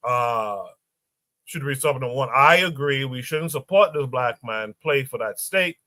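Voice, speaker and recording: A man hosting a livestream podcast panel who reads posts aloud and pauses often with fillers. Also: medium pitch, lively intonation, bright, forceful tone, dry recording, studio quality. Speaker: podcast host.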